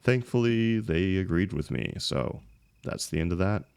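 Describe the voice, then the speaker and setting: Very deep, gravelly young heartbreaker, acted by a man. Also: expressive, darkish tone, dry recording. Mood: disappointed, casual. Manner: casual, halting, emotional, swinging emphasis. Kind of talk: intimate one-sided audio roleplay.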